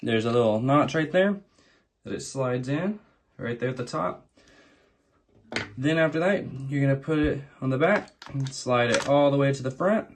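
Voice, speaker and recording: A man's voice: mid-range voice, lively intonation, normal speed, pauses often, a little hiss or hum. A man hosting a casual product unboxing, explaining things plainly, step by step. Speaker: unboxing video host.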